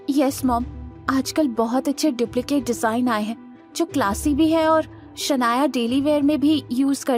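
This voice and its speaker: A woman playing a family shopper on a budget in audio drama dialogue, earnest and expressive, mixing in English words. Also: high voice. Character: women of a middle-class family out shopping on a budget.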